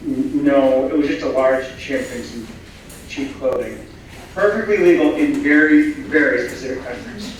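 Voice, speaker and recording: A man's voice: medium pitch, moderate pace, lightly acted. Man as a timid assistant, echoey room. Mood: nervous. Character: timid, obliging male assistant to a rich boss. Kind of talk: staged reading of a stage comedy. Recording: very echoey room, distant mic, faint noise.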